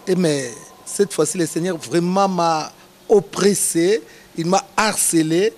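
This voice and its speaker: A man speaks as a pastor answering interview questions. His speech is measured and preacherly and dotted with filler pauses. His voice sits a little high for a man, with a faint hiss underneath. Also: a little mellow. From Democratic Republic of the Congo.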